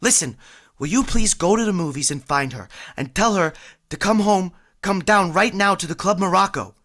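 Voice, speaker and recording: A man's voice: sits high, moderate pace, a bit bright. An actor playing a boastful, easily flustered working-class man who blusters and stammers when he gets nervous, in vintage radio comedy style.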